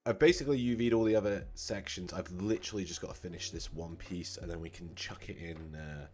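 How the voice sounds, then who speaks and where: A low-voiced man with a slightly croaky, scratchy throat talks through his work in a live-stream tutorial. He muses aloud with fillers and restarts, in an easy, casual way. Faint music plays softly behind him. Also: brisk. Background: soft background music.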